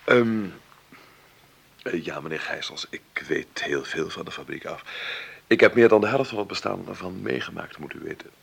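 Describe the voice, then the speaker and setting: A famous film-maker in his fifties in a radio play, wealthy and wry. His voice is low and full of gravel. He speaks with halting authority and a generous streak under the command. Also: animated, heavily acted. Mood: musing. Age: 50s. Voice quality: gravelly, husky. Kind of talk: Dutch radio play acting.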